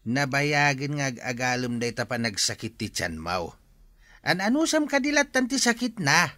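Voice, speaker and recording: A man's voice: mid-range voice, very animated, moderate pace, bright, forceful tone, no room sound. An actor playing a concerned, coaxing elderly husband and father in a Philippine radio drama. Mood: exasperated concern. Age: older.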